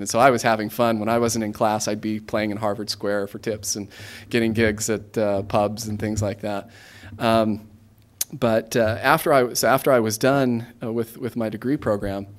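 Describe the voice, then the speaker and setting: An American man in his thirties speaks informally, as a guest addressing students. His voice sits a little low, and his delivery is conversational and halting, with frequent self-interruptions and restarts. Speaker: guest speaker, government aid regional coordinator. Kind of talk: informal career talk to students.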